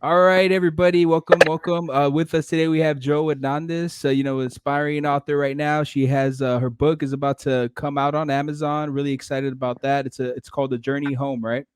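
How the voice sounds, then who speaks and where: A man's voice: a little high, little pitch movement, fairly quick, hardly any pauses, clear, resonant tone. A man hosting a podcast in a casual, informal way, feeling his way through loosely phrased remarks and questions. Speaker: podcast host. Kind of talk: casual interview chat.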